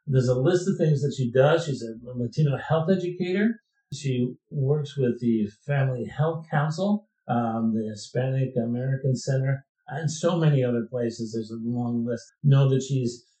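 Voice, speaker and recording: A man's voice: mid-range voice, mellow. Chatty male podcast host, roomy echo. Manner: chatty, rambling with restarts. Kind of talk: friendly interview podcast.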